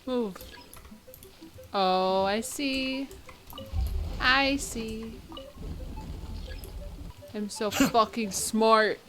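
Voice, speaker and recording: Casting: female game streamer, slightly high voice, leisurely pace, offhand muttered commentary. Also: extremely slow, long pauses, fairly bright tone, soft background music. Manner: talks to herself in short reactions, offhand. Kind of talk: casual gameplay commentary.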